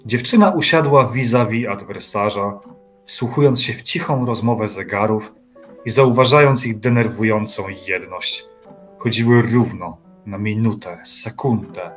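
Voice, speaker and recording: A man's voice: on the low side, a little slow, fairly bright tone, faint music behind. A male narrator playing a composed storyteller, reading scripted literary narration in an audio drama of an uncanny tale. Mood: composed, suspenseful.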